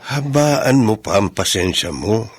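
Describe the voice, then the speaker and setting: A man playing a patient older father in a radio drama, with a Filipino accent and a measured, advising manner. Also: on the low side, lively intonation, slightly rough. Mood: grave, patient. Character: patient older father counselling his married son.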